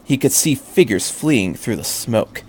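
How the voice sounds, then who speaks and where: A man's voice: medium pitch, expressive, a little slow, a little mellow, husky. A man reading fan fiction aloud as a narrator, with an earnest, dramatic delivery.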